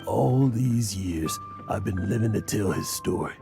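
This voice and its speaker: Weary, gravelly old adventurer. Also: male voice, lowish voice, animated, over music. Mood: despairing. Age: older. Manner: halting, heavy-hearted, emotional. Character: weary, guilt-ridden old adventurer man living as dead friend. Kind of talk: dramatic game voice acting.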